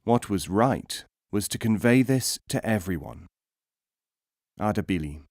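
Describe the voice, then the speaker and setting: A man reading teaching stories as an audiobook narrator, in a measured, formal storytelling manner. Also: lowish voice, lively intonation, darkish tone, no room sound, clean studio sound.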